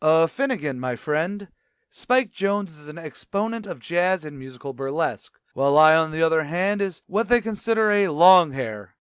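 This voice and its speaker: A man playing a dignified, cultured music critic, formal and deadpan, in an old-time radio sitcom. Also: on the high side, normal speed, clear, resonant tone, no room sound, studio quality, natural voice. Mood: politely formal.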